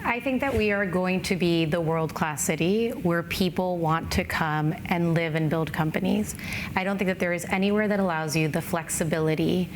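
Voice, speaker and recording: Lowish-voiced woman over noticeable background noise. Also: moderate pace. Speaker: panel guest. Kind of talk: interview answers.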